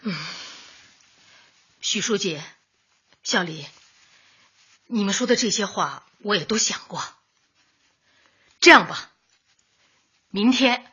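Stubborn soft-hearted mom, very husky n breathy, sharp, emotional, swings around a lot. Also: female voice, mid-range voice, dull audio, exaggerated voice. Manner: emotional, sighing. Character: wronged, stubborn but soft-hearted widowed mother. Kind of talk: Chinese radio drama acting.

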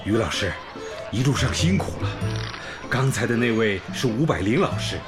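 A man's voice: fairly low pitch, expressive, moderate pace, close to an everyday voice. A man acting as a kindly, courteous village primary school principal in a Chinese radio drama. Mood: earnest, gentle. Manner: courteous, welcoming. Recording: music bed behind the voice.

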